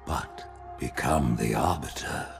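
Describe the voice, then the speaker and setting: An actor playing an imperious old alien high priest in a video game, with a pompous, deliberate and formal delivery. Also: male voice, soft background music. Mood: solemn, weighty. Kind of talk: cinematic video game acting.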